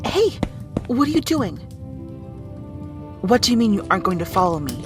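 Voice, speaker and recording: A woman's voice: mid-range voice, very animated, moderate pace, close to an everyday voice. Woman acting a feisty, brash little rat princess. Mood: urgent, exasperated. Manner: brash, threatening, flustered at times. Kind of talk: dramatic audio roleplay acting. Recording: music behind the voice.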